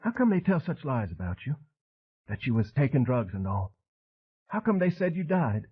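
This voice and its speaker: A man voicing an unstable madwoman with a high, trembling voice, fragile and fervent. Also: big pitch swings, moderate pace, slightly rough, narrow, tinny audio. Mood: excited, rambling.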